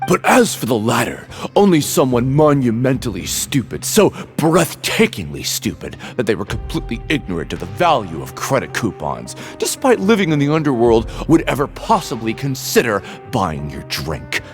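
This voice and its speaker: A man's voice: mid-range voice, huge pitch swings, moderate pace, hardly any pauses, faint music behind. A man playing a fussy, self-important, aggrieved salesman in a video game, whiny and theatrical. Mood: mocking quote. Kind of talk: video game character acting.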